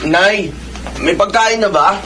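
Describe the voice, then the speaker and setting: A sullen young tricycle driver with a gambling habit. His voice is extremely high for a man, whining and irritable, in the manner of radio drama. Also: slow pace, pushed and bright, a little hiss or hum, heavily acted. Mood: irritated. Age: young adult. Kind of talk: Tagalog radio drama acting.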